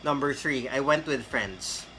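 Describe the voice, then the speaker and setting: A man's voice: medium pitch, unhurried, pushed and bright, a little hiss or hum. A man, giving a casual, rambling tutorial on getting hired in a call center. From Philippines. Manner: chatty, rambling with fillers and restarts. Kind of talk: casual tutorial talk to camera.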